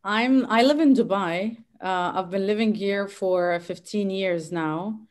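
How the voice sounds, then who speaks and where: A woman from Lebanon speaking on an online panel over a video call, eager and halting, with many fillers. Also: medium pitch. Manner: warm, eager, hesitant with fillers. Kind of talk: casual online panel talk.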